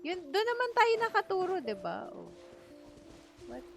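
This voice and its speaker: A woman's voice: very high-pitched, huge pitch swings, moderate pace, creaky. A Filipino-accented woman from the Philippines chatting casually as she livestreams a video game. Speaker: game streamer. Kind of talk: casual livestream gameplay chat. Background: game music.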